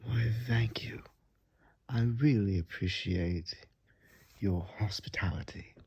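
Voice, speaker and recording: Man playing a hushed, low-voiced courteous gentleman vampire. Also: slow, full character voice. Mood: grateful, charmed. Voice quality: soft, breathy, often whispered. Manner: polite, old-fashioned, hesitant, flattering. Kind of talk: ASMR roleplay.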